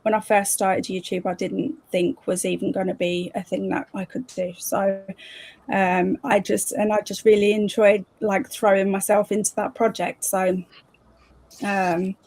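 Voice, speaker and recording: A woman's voice: medium pitch, little pitch movement, measured pace, a little mellow, no echo. A woman hosting a casual livestream party on a video call, chatting loosely with plenty of fillers and little giggles. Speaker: host.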